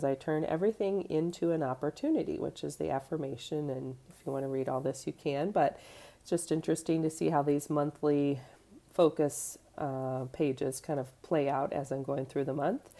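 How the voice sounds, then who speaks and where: A woman in her thirties from the United States, talking in a chatty, easygoing way with a low, mellow voice. She is getting over a cold, and she talks at home in her kitchen, stopping now and then for fillers and small corrections. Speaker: vlogger. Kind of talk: casual show-and-tell.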